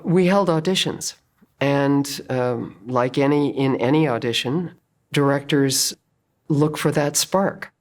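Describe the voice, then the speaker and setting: A woman's voice: a bass voice, slow. An older woman, a playwright and director, telling her story in an earnest, reflective way in a documentary interview.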